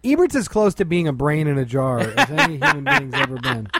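A man's voice: on the high side, big pitch swings, a little fast, vocal fry, background noise. A man co-hosting a podcast with crude, sardonic humor in casual banter. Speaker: podcast co-host.

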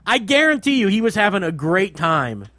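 High-pitched, lively man bantering on a podcast. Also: moderate pace, no echo. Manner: irreverent, joking. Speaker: podcast co-host. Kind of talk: casual comic banter.

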